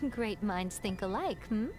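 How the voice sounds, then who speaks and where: An actress playing an elegant, self-assured, teasing woman in a video game, with eloquent delivery. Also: mid-range voice. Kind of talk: video game dialogue acting.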